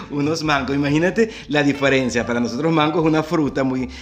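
A man's voice: fairly high pitch, fairly quick, a bit bright. A man from Venezuela with a Venezuelan accent, chatting casually, telling stories and laughing often. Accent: Venezuelan Spanish. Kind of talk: casual chat.

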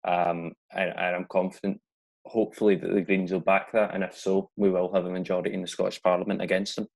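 A man's voice: low-pitched, little pitch movement, quick pace, gravelly. A man in a casual political discussion as a podcast panellist.

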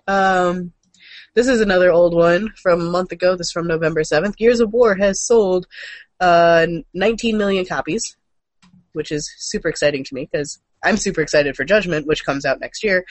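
High-pitched man in casual host chat. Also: moderate pace, no echo. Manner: informal, mildly profane. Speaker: show host. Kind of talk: casual co-hosted chat about gaming and comics news.

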